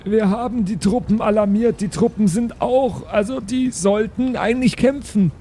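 High male voice, casual tabletop player, improvised role-play, thinking aloud, hesitant. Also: moderate pace, warm tone, a little hiss or hum.

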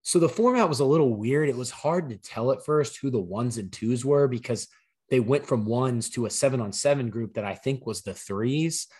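Slightly hoarse man co-hosting a podcast. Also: mid-range voice, lively intonation, moderate pace, darkish tone. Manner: conversational, explains in detail, easygoing. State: partly lost voice, slightly hoarse. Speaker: podcast co-host. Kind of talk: casual sports podcast chat.

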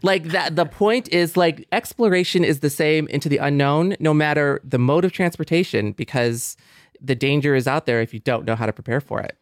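A man in a casual, reflective discussion, speaking haltingly as he works through his thoughts. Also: a little high, no echo, clean studio sound. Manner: halting, thinking aloud. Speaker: podcast co-host.

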